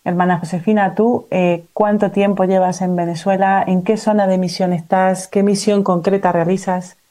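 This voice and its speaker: Woman interview host with a very mellow voice. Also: medium pitch, little pitch movement, moderate pace. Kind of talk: conversational interview.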